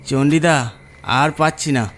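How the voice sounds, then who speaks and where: A man puts on a weary village corpse bearer with a raised, high voice. He complains and pleads, cartoon ghost-story style, over background noise. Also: a little slow, a bit bright. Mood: pleading, exhausted. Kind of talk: horror cartoon story dialogue.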